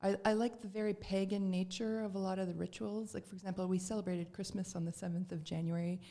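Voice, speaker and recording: A woman's voice: medium pitch, level tone, fast, mellow. A middle-aged woman from Canada, a podcast guest telling her story in casual chat, with lots of fillers and restarts.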